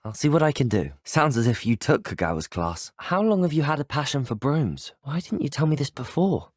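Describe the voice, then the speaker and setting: A man's voice: mid-range voice, sing-song, brisk, no echo, studio quality. A man voicing an earnest, polite young student wizard in a video game, with a British accent and courteous, articulate delivery. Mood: curious, conversational. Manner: courteous, articulate, formal phrasing. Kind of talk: scripted video game dialogue, isolated voice clips.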